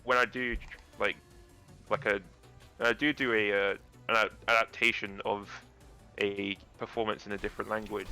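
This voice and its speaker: Deep-voiced man, bright forceful tone. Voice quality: naturally deep voice. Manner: rambling, restarts sentences, laughs often. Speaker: guest actor. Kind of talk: casual chat about acting.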